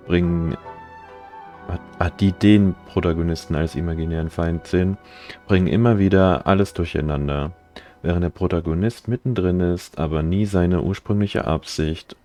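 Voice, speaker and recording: A man's voice: sits low, dark tone. A man livestreaming a game, reading its text aloud in an offhand manner. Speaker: game streamer.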